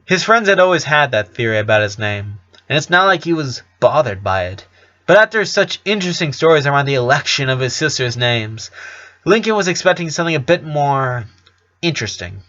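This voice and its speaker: A man's voice: on the high side, very animated, a little fast, bright, forceful tone, muffled recording. A man narrating a written story, reading fan fiction aloud.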